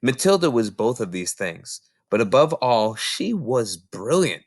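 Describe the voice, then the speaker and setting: A man reading a children's book aloud in an expressive, theatrical storytelling style with emphatic phrasing. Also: mid-range voice, measured pace, no room sound, studio quality.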